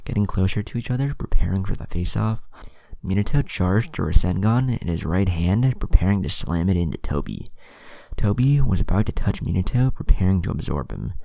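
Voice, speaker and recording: Casting: man for dramatic story narration, bassy with heavy vocal fry, lively intonation. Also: fairly quick, dry recording. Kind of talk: scripted dramatic fan-fiction narration.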